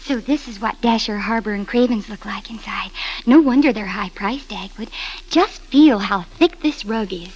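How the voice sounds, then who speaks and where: An American woman acting a sweet, reassuring young wife in a 1930s radio comedy, gently persuasive and soothing. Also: medium pitch, normal speed, soft background music, natural voice, rough recording. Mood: impressed, soothing. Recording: vintage 1930s radio broadcast recording.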